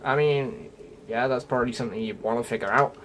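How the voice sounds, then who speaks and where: A man's voice: medium pitch, a little fast, a bit bright, a little hiss or hum, rough recording. A man narrating a casual gaming guide in a chatty way, addressing viewers directly and musing as he goes. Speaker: gaming video creator.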